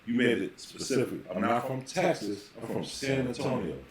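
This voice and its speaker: A man hosting a casual podcast interview, building long questions in halting, repeated phrases. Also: mid-range voice, expressive, a loud crowd. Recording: very echoey room, faint background noise.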